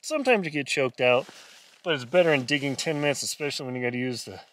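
A man's voice: medium pitch, animated, fast, fairly bright tone. A middle-aged man giving casual, folksy instructions as he handles gear, recorded on a camera inside a small tent. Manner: folksy, talking while busy with gear. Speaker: solo winter camping vlogger. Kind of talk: casual instructional vlog talk.

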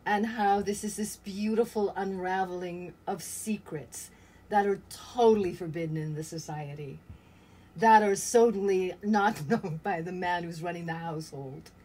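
A woman's voice: on the low side, moderate pace, mellow, poor audio. An older woman reflecting casually as an actor in an interview held over a video call. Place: indoor room with cloth backdrop. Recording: faint ambient noise on video call.

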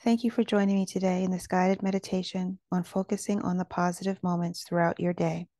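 A woman leads a guided meditation with a gentle, soothing delivery. Her medium-pitched voice is relaxed and warm, with a creaky edge. The sound is dry and close, with very little room in it. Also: brisk, no echo.

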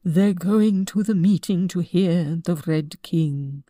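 A woman's voice: mid-range voice, animated, a little slow, mellow, slightly rough, no echo, studio quality. A woman voicing a fussy, jumpy elderly king in a storybook reading. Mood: fretful.

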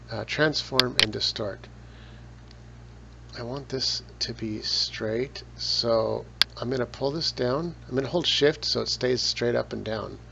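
A man talking through a photo editing tutorial step by step, relaxed, with casual asides. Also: medium pitch, vocal fry. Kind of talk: screen-recorded software tutorial. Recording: dull lo-fi voice with loud noise.

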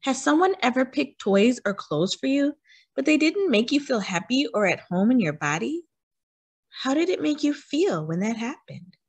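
A woman with a husky voice reading a children's picture book aloud expressively, putting questions to young listeners. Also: high voice, sing-song, normal speed, no echo. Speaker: read-aloud storyteller for children.

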